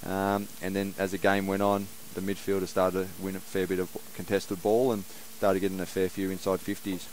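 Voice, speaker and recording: Deep, flat man; low-key footballer after the game. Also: moderate pace, few pauses, a little hiss or hum, low-quality recording. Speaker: footballer, player of the match. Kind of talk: post-match interview.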